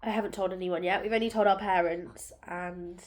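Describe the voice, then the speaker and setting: A woman's voice: fairly low pitch, steady tone, a little fast, slight background noise. Tired congested woman vlogging. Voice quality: nasal, bunged up. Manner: soft, tired, rambling with many fillers. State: congested, sore throat. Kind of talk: casual vlog. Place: at home.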